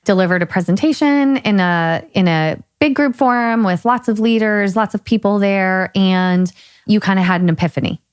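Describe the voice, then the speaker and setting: A woman's voice: medium pitch, normal speed, clear, resonant tone, dry recording, studio quality. A woman co-hosting a podcast in casual chat, chiming in and steering the conversation. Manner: interjecting, guiding the conversation. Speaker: podcast co-host.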